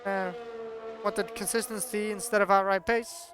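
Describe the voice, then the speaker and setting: A man from Norway with a Norwegian accent, not a native English speaker, giving chatty, excitable commentary on a sim race. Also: sits high, moderate pace, noisy background. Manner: chatty, excitable, laughs often.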